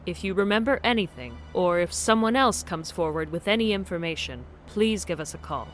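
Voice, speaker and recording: A woman playing a brisk, matter-of-fact police detective in an audio drama. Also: on the low side, animated, soft background music, lightly acted. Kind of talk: naturalistic audio drama acting.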